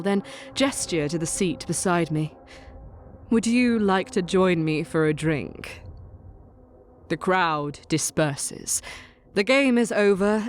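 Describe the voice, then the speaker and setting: A woman performing a cold, vengeful witch who narrates her own dark tale in a deliberate, intense, dramatic way. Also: fairly low pitch, a little hiss or hum. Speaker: story narrator. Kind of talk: dramatic first-person scripted narration.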